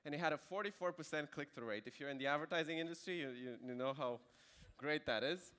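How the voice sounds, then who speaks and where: A man's voice: a little high, fast talker, sharp tone, clean tone. A man in his thirties with a Romanian accent, giving a conversational conference talk with dry asides. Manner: conversational with dry jokes and fillers. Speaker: conference speaker. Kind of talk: keynote presentation.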